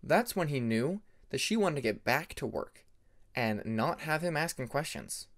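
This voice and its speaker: A man's voice: on the high side, lively intonation, normal speed, fairly bright tone. A man reading a story aloud as narrator of an amateur audiobook.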